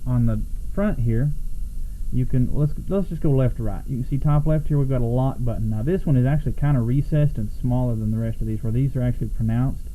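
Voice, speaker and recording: A man's voice: mid-range voice, a little fast, mellow. An American man giving a casual, unscripted product overview in a rambling, self-correcting way. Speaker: product reviewer. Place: small home room, at a desk. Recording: muffled lo-fi camera audio, background noise.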